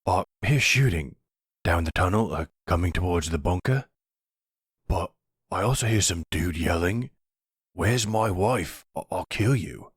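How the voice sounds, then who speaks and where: A man's voice: sits low, expressive, unhurried, husky, no room sound, clean studio sound, full character voice. A man voicing an easygoing, talkative young mob underling in a roleplay audio drama, speaking casually and a bit hesitantly. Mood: uneasy.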